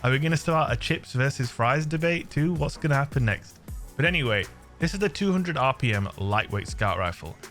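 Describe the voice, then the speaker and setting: A man's voice: mid-range voice, lively intonation, fairly quick, fairly bright tone, faint music behind. A young English man, a little under the weather, giving chatty, slangy gameplay commentary to his viewers. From England. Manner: chatty, jokey, slangy, addresses viewers as friends. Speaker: gaming channel host.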